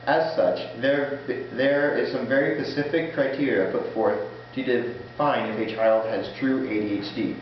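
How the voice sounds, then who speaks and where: A man giving a prepared informative student speech in a formal, halting way, stumbling over words as he partly reads it aloud. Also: medium pitch. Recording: very echoey room, muffled, faint noise.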